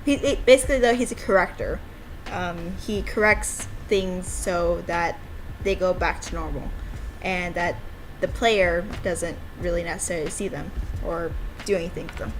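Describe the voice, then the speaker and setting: A woman's voice: lowish voice, moderate pace, noisy background. A woman giving casual livestream commentary on her own game, with an enthusiastic, giggly, rambling manner. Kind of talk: casual livestream chat mixed with reading game dialogue aloud.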